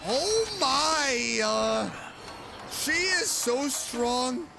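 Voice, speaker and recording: A man playing a video game and chatting with excitable, spontaneous reactions as a vtuber. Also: extremely high pitch, very slow, gravelly. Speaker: vtuber gamer. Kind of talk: casual gameplay commentary. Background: game music.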